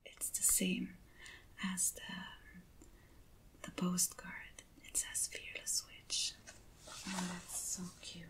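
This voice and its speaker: A woman speaking in a hushed, breathy, gentle whisper, as in an ASMR unboxing video. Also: lowish voice, monotone. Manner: hushed, gentle, unhurried with pauses. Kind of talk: soft-spoken ASMR unboxing. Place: indoors, at a table. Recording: clearly noisy hissy close recording.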